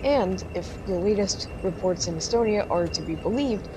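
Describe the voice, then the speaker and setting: A woman's voice: expressive, moderate pace, natural voice. A woman playing a war correspondent delivering a live radio news report from the front line. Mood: measured, grave. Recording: noisy outdoor field, vehicle rumble.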